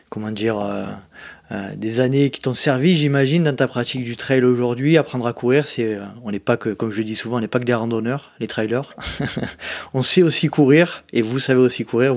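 A man hosting a podcast and interviewing guests in a casual way, with many fillers and repeated words. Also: mid-range voice. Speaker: podcast host.

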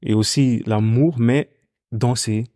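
A young man from Ghana with a Ghanaian accent, a music artist telling his story in a relaxed, casual chat, with lots of fillers and repeated phrases. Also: medium pitch, slow, no room sound, studio quality. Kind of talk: casual conversation.

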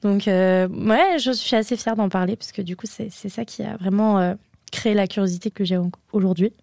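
A woman's voice: medium pitch, steady tone, brisk, creaky, darkish tone, no echo, studio quality. A woman in her twenties who grew up in Andorra, a podcast guest talking casually with many hesitations and fillers. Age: 20s. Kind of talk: casual interview chat.

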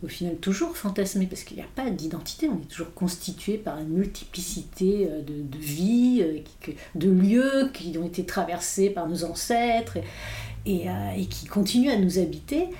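A woman's voice: fairly low pitch, animated, moderate pace, warm tone. A woman whose first language is English, a philosopher speaking as a guest in an interview, thoughtful and full of hesitations and restarts. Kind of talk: reflective interview talk. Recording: roomy echo.

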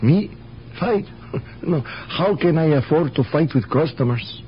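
Halting, anxious immigrant grocer. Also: male voice, a little high, animated. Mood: anxious, defensive. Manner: halting, broken English, defensive. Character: meek, anxious immigrant grocer protesting his innocence. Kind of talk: radio drama acting. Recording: dull, hissy old radio broadcast with hum.

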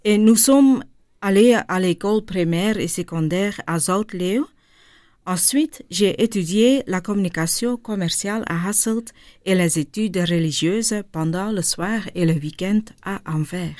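Slow, hesitant woman with a Flemish accent. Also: mid-range voice, darkish tone, dry recording. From India, raised in Flanders. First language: Dutch. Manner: hesitant, searching for words, many ums. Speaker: guest, charity director. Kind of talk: radio interview.

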